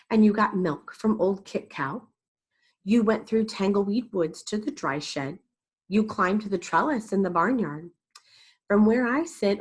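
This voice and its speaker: A woman voicing a gentle, encouraging old grandmother in a children's storybook, speaking softly and reassuringly. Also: mid-range voice, slightly rough. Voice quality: soft. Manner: reassuring, tender.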